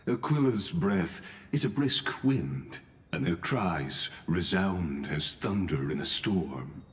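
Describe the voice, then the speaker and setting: A humble, learned retainer in a video game. His voice is low and unhurried, with expressive rises and falls, and he speaks with gentle, formal deference. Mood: solemn, explanatory. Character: courteous, scholarly, humble male retainer with gentle temper. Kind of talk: video game character acting.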